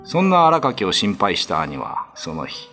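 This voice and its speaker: A man tells an old ghost story as a radio narrator. His voice sits low and moves with lively expression, and music plays clearly underneath the scripted, dramatic reading. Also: measured pace. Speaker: storyteller, actor.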